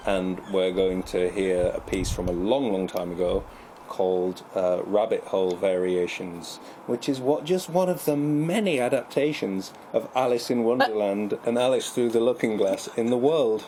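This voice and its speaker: A man's voice: on the low side, moderate pace, warm tone, slight background noise. A man co-hosting a casual radio chat, speaking in a wordy, mock-formal way with dry humour. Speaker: radio show co-host.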